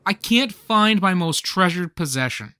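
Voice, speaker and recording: A male actor playing an excitable, nostalgic, nerdy man in a radio comedy play, with theatrical, geeky banter. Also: sits high, measured pace, clear, resonant tone, dry recording, clean studio sound, heavily acted. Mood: anxious, dramatic.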